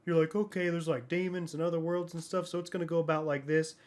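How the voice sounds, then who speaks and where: Higher-voiced man in casual, rambling chat. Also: fairly even intonation, quick pace. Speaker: podcast host. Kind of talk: casual chat about movies.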